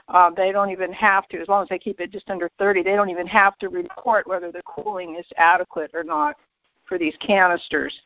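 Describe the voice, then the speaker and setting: A woman calling in with brisk, insistent technical comments. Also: medium pitch, dry recording. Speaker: caller. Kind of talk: phone-in comment on a conference call. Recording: dry, muffled phone line.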